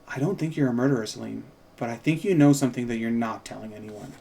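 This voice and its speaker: A man's voice: medium pitch, fast, gravelly, mellow, pitched below the performer's own voice, close to an everyday voice. A man reading the part of a self-assured, probing woman reporter at a script table read. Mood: earnest.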